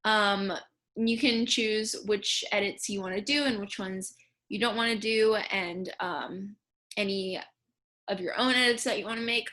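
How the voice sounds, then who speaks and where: A woman's voice: mid-range voice, fairly even intonation, measured pace, pushed and bright. A young American woman casually talking through an instructional slideshow, explaining things informally with little asides. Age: young adult. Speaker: student speech team officer giving a tutorial. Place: indoors in a room at home, on a webcam.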